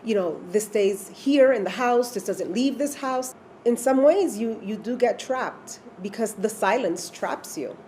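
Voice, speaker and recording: A woman's voice: on the high side, expressive, a little slow, dark tone, slight background noise. A woman talking thoughtfully in a conversation, reflecting on shared experiences.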